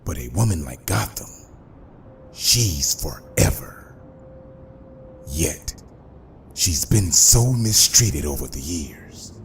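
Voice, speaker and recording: Casting: suave comic-book mob boss, extremely low and gravelly, grandiloquent, unhurried menace. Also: male voice, very animated, slight background noise, exaggerated voice. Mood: suave, brooding. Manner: pompous, deliberate, grandiloquent. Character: suave, menacing middle-aged male crime boss, theatrically eloquent. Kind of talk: motion comic voice acting.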